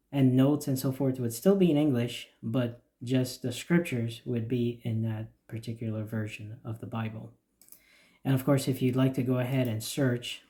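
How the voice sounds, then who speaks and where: A man explaining the features of a church website in a steady, plain walkthrough with occasional fillers. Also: medium pitch. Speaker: church website developer giving a demo. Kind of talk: screen-recorded software walkthrough.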